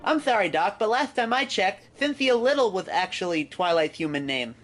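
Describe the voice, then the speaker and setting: High-pitched man narrating a jokey review. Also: animated, moderate pace, a bit bright, faint music behind. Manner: wry, jokey asides. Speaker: fan fiction reviewer, host.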